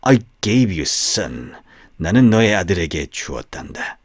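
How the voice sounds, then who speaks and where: Man voicing a low, husky, vengeful storybook snake. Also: very animated, slow pace, dry recording, clean studio sound, exaggerated voice. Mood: bitter, reproachful. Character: wounded, bitter, vengeful snake from a fairy tale.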